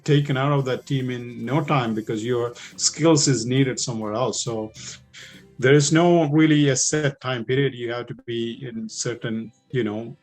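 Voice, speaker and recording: A man's voice: mid-range voice, a little mellow. A middle-aged man with a thick Indian accent, a police officer answering recruits' questions in a loose, conversational way full of fillers and restarts. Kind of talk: informal Q&A talk.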